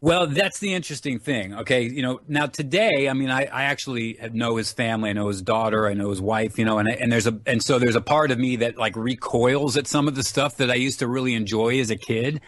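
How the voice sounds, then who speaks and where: A man's voice: mid-range voice, fairly quick, hardly any pauses, fairly bright tone, no echo. A middle-aged man chatting casually as an interview guest, talkative and rambling as he explains things. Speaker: interview guest, actor and martial artist.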